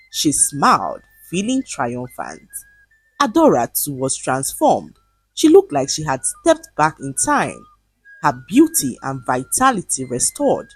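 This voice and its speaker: Woman, low husky voice, scripted folktale narration, dramatic delivery, close dry sound. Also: no room sound, low-quality recording. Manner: expressive, dramatic storytelling. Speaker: storyteller, narrator.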